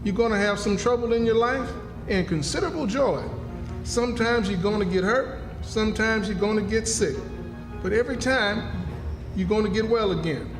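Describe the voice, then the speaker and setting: An actor playing a kindly, superstitious slave fortune-teller who speaks solemnly in repetitive, incantatory folk dialect for radio drama. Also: male voice, high voice, normal speed, close to an everyday voice. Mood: prophesying. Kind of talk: live old-time radio drama acting. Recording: echoey hall, live stage performance. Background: music under the voice.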